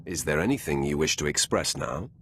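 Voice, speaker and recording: Casting: cold game villain master, self-assured and terse, gravel in the voice, widely swinging intonation. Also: male voice, a bass voice, animated, brisk, fairly bright tone, slight background noise, exaggerated voice. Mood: cool, dismissive. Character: commanding male Sith lord, cold, domineering master. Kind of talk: dramatic video game voice acting.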